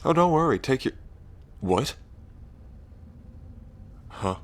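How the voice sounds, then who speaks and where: A man playing a lonely ancient dragon king in roleplay ASMR, proud yet bashful, with halting, deliberate phrasing. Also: mid-range voice, sing-song. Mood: playful, challenging. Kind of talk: roleplay ASMR audio drama.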